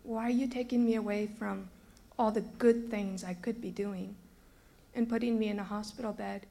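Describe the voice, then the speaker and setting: Brief: woman in her 30s raised in Brazil, earnest testimony, level gravelly delivery. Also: mid-range voice, steady tone, normal speed, dark tone. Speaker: former teacher giving a testimony. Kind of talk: personal testimony.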